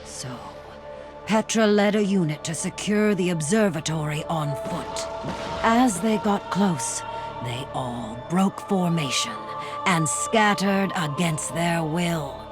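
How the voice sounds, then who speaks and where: An actress playing a solemn mystic sorceress in a video game, telling her tale in a deliberate, dramatic way. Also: lowish voice, lively intonation, over music. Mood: grave. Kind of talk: video game cutscene acting.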